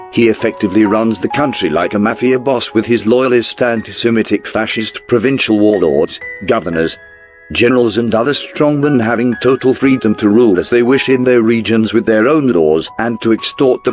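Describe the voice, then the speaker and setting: A man reading a scripted newsreel-style documentary narration in a formal, measured way. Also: mid-range voice. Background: background music.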